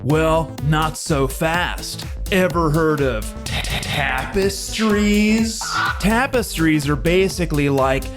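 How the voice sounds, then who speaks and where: A man's voice: on the high side, slow. A man playing a laid-back, dim slacker shop owner in a parody radio commercial, stuttering and rambling in slang. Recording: music bed under the voice.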